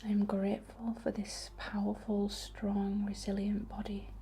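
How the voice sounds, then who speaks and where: A woman speaking in a soft, breathy whisper, gently guiding a soothing, unhurried ASMR healing ritual. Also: mid-range voice, completely flat delivery. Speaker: ASMR host. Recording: faint background noise.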